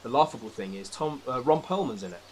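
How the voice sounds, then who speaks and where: A man's voice: mid-range voice, expressive, fast, slight background noise. A British man in a casual, opinionated film discussion, talking emphatically with exasperated, sweary rants. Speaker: podcast speaker.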